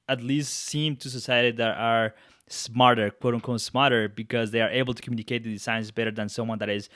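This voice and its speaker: A man's voice: medium pitch, fairly even intonation, a little fast, fairly bright tone, no room sound, studio quality. A man in his thirties from Colombia with a Colombian Spanish accent, whose first language is Spanish, hosting an interview podcast in an earnest, reflective way with frequent restarts. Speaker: podcast host. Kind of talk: interview conversation.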